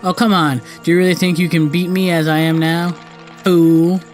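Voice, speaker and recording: A man's voice: a little high, flat delivery, moderate pace, faint music behind, lightly acted. A man voicing a mischievous masked imp child villain from a video game, mocking and gloating. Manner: mocking, gloating, with a written-out giggle.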